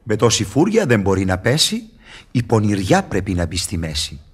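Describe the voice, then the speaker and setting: A sly, mocking devil speaks in a lowish, rough-edged voice. He swoops widely in pitch as he wheedles and teases, in theatrical radio-drama style. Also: wildly swooping pitch, full character voice. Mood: teasing. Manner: wheedling, teasing, declamatory. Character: sly, mocking devil tempter and fixer, male.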